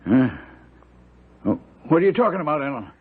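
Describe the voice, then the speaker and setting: Folksy male servant, gentle gossip, husky, animated, narrow tinny radio-drama recording. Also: on the high side, fairly quick. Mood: gentle, consoling. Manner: folksy, rambling storytelling. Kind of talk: old-time radio drama acting.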